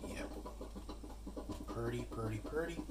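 Young male home-cooking vlogger, casual and jokey, mid-pitched, recorded on a camera mic over clear kitchen sizzle. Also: takes it slowly, heavy background noise. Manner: upbeat, joking, self-deprecating. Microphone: camera mic, handheld and tripod. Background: sizzling pan and kitchen sounds.

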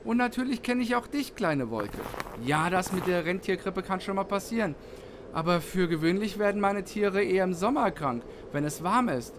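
Children's audio play: actor for kindly old Santa, high-voiced, fretful, sighing, noticeable background noise. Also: male voice, lively intonation, normal speed. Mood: kindly, reassuring. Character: worried, kindly old male Santa Claus.

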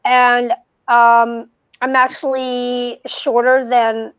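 A middle-aged woman hosting a podcast, chatting in an animated way with frequent restarts, on a video call with wired earbuds. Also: on the high side, drawn-out words, clear, resonant tone, no room sound. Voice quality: soft voice. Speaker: podcast host. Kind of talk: casual conversation. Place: home room, video call. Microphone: wired earbuds headset.

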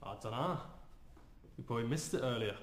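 A man's voice: medium pitch, animated, brisk, lightly acted. A man acting a brusque, knowing workmate in an amateur radio drama, curt and conversational. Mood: knowing, dry. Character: brusque, knowing workmate telling a creepy tale. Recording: faint background noise.

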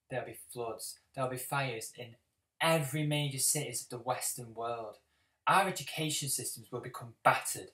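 A man performing a dramatic audition monologue as a bitter, apocalyptic schoolboy, speaking deliberately with heavy pauses. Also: mid-range voice, natural voice. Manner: deliberate, heavy pauses, swelling from hushed to forceful. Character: bitter, brooding, apocalyptic schoolboy confronting a bully. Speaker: actor auditioning. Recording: roomy, distant microphone.

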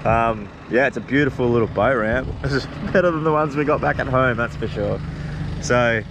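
Excited young Australian man vlogging. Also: mid-range voice, moderate pace, noisy background. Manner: excited, slangy, upbeat. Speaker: vlog host. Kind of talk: casual vlog chat to camera. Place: boat workshop, then outdoors on a boat.

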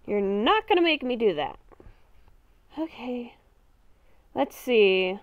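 A woman's voice: fairly high pitch, big pitch swings, slow, dry recording, narrow, tinny audio. A woman improvising in-character game roleplay, talking to herself in murmurs and exclamations. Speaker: gaming video creator playing a roleplay character.

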